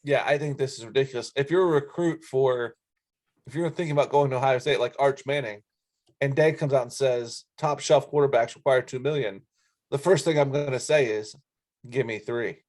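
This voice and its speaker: A man's voice: mid-range voice, normal speed, a little mellow, no echo, clean studio sound. A man co-hosting a sports podcast, recapping news and giving blunt, informal opinions in casual talk.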